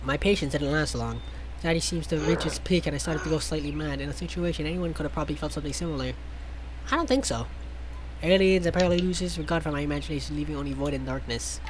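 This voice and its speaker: High-voiced male reader, hammy and sarcastic, tripping over words, faint noise behind. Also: fast talker, rough recording. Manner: theatrical, sarcastic asides, stumbles over words. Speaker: host reading a visual novel aloud. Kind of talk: mocking dramatic read-aloud with ad-lib commentary.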